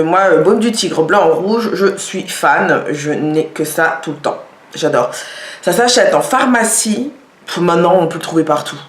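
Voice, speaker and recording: A woman showing products in a casual vlog, talking with many hesitations and fillers. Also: mid-range voice. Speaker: vlogger. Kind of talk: casual product show-and-tell. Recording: echoey room.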